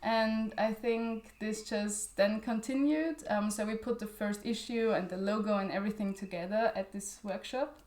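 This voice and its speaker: Young woman from Austria, German native speaker, hesitant speech with fillers, mid pitch, echoey room. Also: fairly even intonation, normal speed, few pauses, darkish tone. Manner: hesitant, many fillers and restarts, laughs often. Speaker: interview guest, journal founder. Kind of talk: conversational interview.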